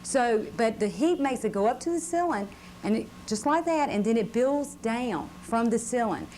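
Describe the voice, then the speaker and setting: A woman's voice: a little high, a little mellow. A woman firefighter giving a simple safety talk to children, prompting them with questions, on an old VHS recording. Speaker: firefighter, fire safety educator. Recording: old VHS, faint room noise.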